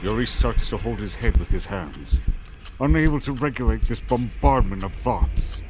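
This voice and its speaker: A man's voice: fairly low pitch, expressive, normal speed, a little mellow, a little hiss or hum. A man reading scripted, dramatic story narration as the narrator. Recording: prominent music under narration. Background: music.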